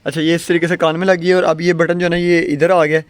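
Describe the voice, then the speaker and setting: A man's voice: fairly high pitch, flat delivery, fast talker. A man giving a casual product demonstration outdoors as a reviewer, over wind noise. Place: outdoors, open field and rooftop.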